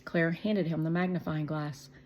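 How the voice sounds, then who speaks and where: A woman narrating a children's storybook in an expressive, deliberate way. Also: deep voice. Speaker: read-aloud narrator.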